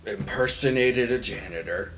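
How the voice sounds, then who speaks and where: A man voicing a brooding male student with buried rage in a tabletop game, subdued and halting. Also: on the low side, a bit bright, soft background music, natural voice. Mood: grim, halting.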